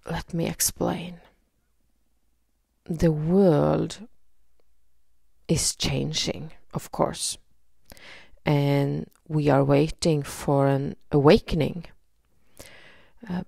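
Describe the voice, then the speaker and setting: A woman from Norway with a Norwegian accent, leading a guided energy healing session in a slow, soothing way with many pauses and fillers. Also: low voice, vocal fry, dark tone, no echo, clean studio sound. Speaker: energy healer.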